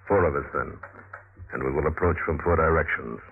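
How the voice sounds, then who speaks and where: A man's voice: rumbling low, brisk, breathy edge. An actor playing a sinister, shrewd henchman, terse and conspiratorial, in a 1950s radio drama style. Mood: quiet conspiring. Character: sinister, shrewd male henchman and fellow assassin. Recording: muffled narrow-band old radio recording.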